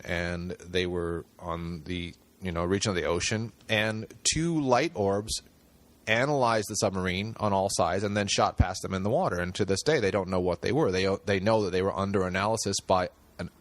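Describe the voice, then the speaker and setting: A man's voice: low voice, normal speed. A man talking as a guest on a podcast, thinking aloud with many fillers and restarts. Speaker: podcast guest, screenwriter and director. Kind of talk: casual interview talk.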